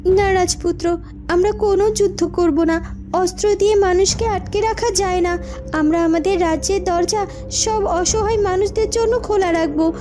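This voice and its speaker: A woman's voice: very high voice, steady tone, normal speed. A woman voicing a kind, dignified young cartoon princess who speaks in a reassuring, moralizing way. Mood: firm, principled. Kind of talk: Bengali fairy-tale cartoon dubbing.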